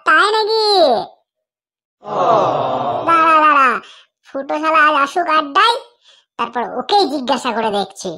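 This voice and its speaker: A woman performs a high-voiced cartoon pal, excitable and teasing. The delivery is exclamatory and comic, with words doubled and repeated for effect.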